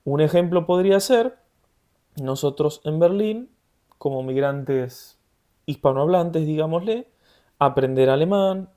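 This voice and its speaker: A young man with a Rioplatense Argentine accent, co-hosting a podcast in a relaxed, chatty way. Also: on the high side. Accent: Rioplatense Argentine Spanish. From Buenos Aires, Argentina. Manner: relaxed and conversational, laughs easily. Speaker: podcast co-host. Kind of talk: casual podcast chat.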